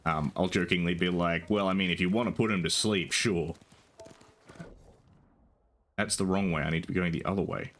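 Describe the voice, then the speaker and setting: A young man with a soft, soothing voice, chatting casually while streaming a game, rambling with lots of ums and casual swearing. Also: low-pitched, steady tone, moderate pace, long pauses. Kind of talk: casual gaming stream chat.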